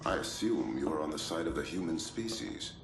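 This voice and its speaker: An actor playing a cold, clinical doctor who questions his patient in a measured, accusatory way, in a video game cutscene. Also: very deep voice, expressive. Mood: probing. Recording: roomy echo with music behind.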